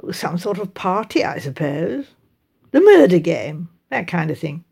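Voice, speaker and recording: A woman voicing a bored, sardonic young English son, offhand and low-key. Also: very animated, measured pace, gravelly, warm tone, husky, heavily acted.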